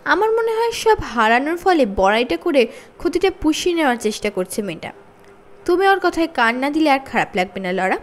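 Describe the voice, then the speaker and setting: A practical frontier father from a children's storybook, read by a woman. The voice is high, with animated pitch. He talks in a plain, reasoning way. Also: fairly quick. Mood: reassuring.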